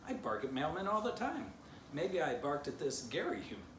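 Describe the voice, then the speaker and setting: A man's voice: on the high side, big pitch swings, normal speed, pitched above the performer's own voice. A man voicing a curious, outspoken female dachshund in a children's storybook, earnest and forthright. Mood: surprised. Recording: distant mic, roomy, noisy.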